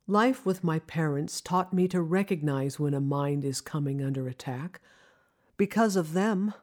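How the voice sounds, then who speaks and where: A woman narrating a scripted first-person audio drama in an expressive, reflective storytelling manner. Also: low-pitched. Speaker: narrator, singing student.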